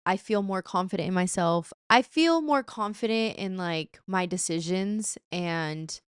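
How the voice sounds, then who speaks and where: A woman's voice: lowish voice, a little slow, smooth, no room sound, studio quality. A woman in her twenties hosting her podcast, chatting casually and playfully with slang asides. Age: 20s. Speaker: podcast host. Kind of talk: casual chat.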